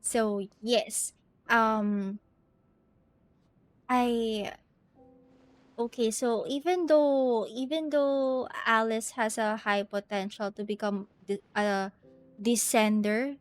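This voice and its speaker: A woman's voice: a little high, fairly even intonation, takes it slowly, pauses often, no room sound. A woman chatting casually on a game livestream, thinking aloud in a hesitant, giggly way, with game music behind her. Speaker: game streamer. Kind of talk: casual livestream chat while playing.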